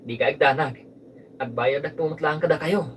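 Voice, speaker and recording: A man's voice: a little high, a little fast, distant mic, natural voice. A man with a Filipino accent playing a polite, earnest character in a family radio drama. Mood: grateful, reassuring. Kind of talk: Ilocano radio drama acting. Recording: off-mic live recording, faint noise.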